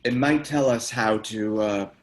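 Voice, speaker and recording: Man acting a grave, low-voiced police detective. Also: unhurried, natural voice. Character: grave, haunted police detective, a bereaved father. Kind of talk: screenplay table read acting.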